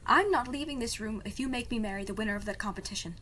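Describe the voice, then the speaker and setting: A woman plays a defiant young princess in a fantasy audio drama. Her voice is a little high, dryly sarcastic and stubborn. Faint noise sits behind the recording. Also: fast, sharp tone. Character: stubborn, sardonic young princess defying an arranged marriage. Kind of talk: audio drama podcast acting.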